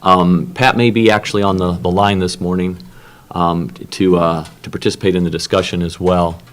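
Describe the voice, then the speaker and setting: A man's voice: sits low, flat delivery, normal speed. A man chairing a technical workshop in a conference room, opening the session with many fillers and restarts. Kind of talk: opening remarks at a technical meeting.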